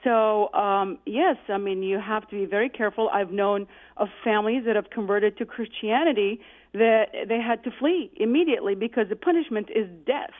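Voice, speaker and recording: A woman's voice: medium pitch, moderate pace. A middle-aged woman from Iraq calling in to a radio show, speaking earnestly and hesitantly with many fillers. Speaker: caller. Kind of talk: call-in radio talk. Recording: tinny phone line.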